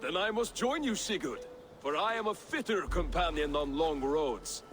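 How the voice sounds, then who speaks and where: A male actor as a proud, resentful Viking raider with a surly, boastful edge. His highish voice swings in pitch over prominent game music. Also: animated, normal speed, close to an everyday voice. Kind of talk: dramatic video game acting.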